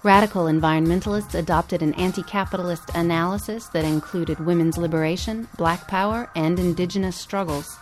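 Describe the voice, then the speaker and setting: A woman's voice: on the low side, steady tone, brisk, few pauses, soft background music. A woman co-hosting a podcast, reading scripted documentary-style narration. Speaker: podcast co-host.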